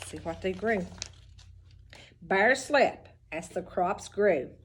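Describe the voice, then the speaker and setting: A woman reading a storybook aloud as a teacher to children, expressive and instructive, with chatty asides. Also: mid-range voice, slow, slightly rough.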